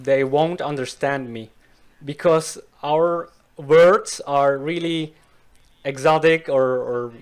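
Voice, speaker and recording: A Swiss man whose first language is Swiss German, speaking careful, slow learner's English as an interview guest. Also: fairly high pitch, clear, resonant tone. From Switzerland. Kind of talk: casual interview chat.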